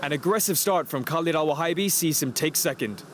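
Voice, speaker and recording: A man reading scripted narration for a motor racing highlights show. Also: a little fast, very noisy.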